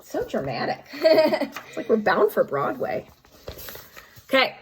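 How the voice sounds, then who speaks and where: High-voiced, animated woman reading aloud. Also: slow pace, a little hiss or hum, rough recording. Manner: playful, dramatic. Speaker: storybook reader. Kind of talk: animated read-aloud with asides.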